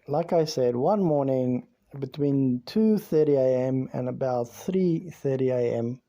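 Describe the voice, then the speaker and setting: Slow, dark-voiced man. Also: medium pitch, lo-fi sound. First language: Afrikaans. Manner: rambling, with many "you know"s and repeated words. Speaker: former police officer telling a true story. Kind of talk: casual spoken storytelling.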